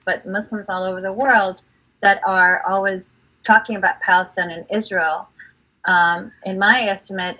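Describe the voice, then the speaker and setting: A woman's voice: mid-range voice, bright, forceful tone, poor audio. A woman professor from Pakistan asking a question, tentative and searching for words. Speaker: professor, event moderator.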